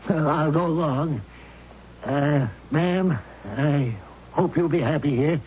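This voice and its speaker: A man's voice: on the high side, slow pace. An actor playing a friendly rural male storekeeper with homespun, folksy speech in a 1970s radio drama. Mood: neighborly. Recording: dull old radio broadcast with faint hiss.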